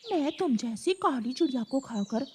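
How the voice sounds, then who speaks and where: Woman voicing a haughty, high-pitched female eagle. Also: expressive, normal speed, a little mellow, slight background noise. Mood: disdainful. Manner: disdainful, curt.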